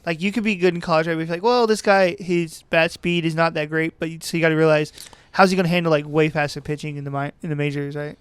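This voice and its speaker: Quick-talking co-host. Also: male voice, a little high, little pitch movement, clear, resonant tone, no echo. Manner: quick, eager, chatty. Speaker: podcast co-host. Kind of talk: casual chat about baseball.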